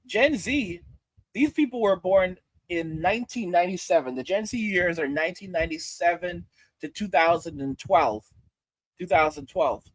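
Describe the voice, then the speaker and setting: A man's voice: sits high, lively intonation, normal speed, no echo, rough recording. A man in his forties ranting in commentary over a clip, stammering and repeating himself with mocking asides. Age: 40s.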